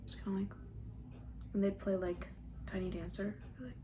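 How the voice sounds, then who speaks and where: A woman doing soft-spoken ASMR roleplay as a camp counselor, chatting in a gentle, breathy, rambling way that often slips into a whisper. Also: medium pitch, level tone, moderate pace, background noise. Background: banjo.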